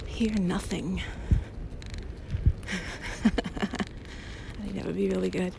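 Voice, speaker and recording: A woman with a slightly creaky, crackling edge to her voice talks in a loose, intimate ramble, like a girlfriend keeping someone company. Her phrases trail off and restart with a giggly ease. She is outdoors, with clear traffic and environmental noise around her. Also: mid-range voice, fairly even intonation, drawn-out words. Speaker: audio roleplay creator playing a loving girlfriend. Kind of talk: casual rambling chat, intimate one-sided companion talk. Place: outdoors on a bike path. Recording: noisy outdoor ambience, passing vehicles.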